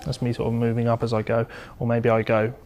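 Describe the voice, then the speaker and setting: Male guitar instructor, average pitch, explanatory and conversational, with prominent music in the background. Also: monotone, fast talker. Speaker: guitar teacher. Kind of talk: informal instructional talk with demonstrations.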